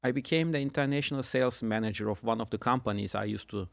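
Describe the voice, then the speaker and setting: A middle-aged man from Turkey, a guest telling his story in a measured way with dry humor. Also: mid-range voice, creaky, dry recording. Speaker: guest, insurance agent. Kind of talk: casual podcast conversation.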